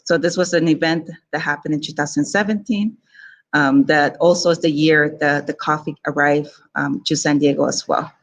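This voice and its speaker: Give me an organizer closing out a virtual event, with a mid-pitched voice. The speech is halting, and words get repeated before the thought goes on. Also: fairly even intonation. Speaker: community organizer. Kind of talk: casual closing remarks.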